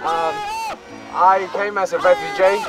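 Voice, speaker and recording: Candid man from Sri Lanka, high voice. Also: fairly even intonation, measured pace, music in the background, a crowd in the background. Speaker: refugee interviewed. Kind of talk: documentary interview.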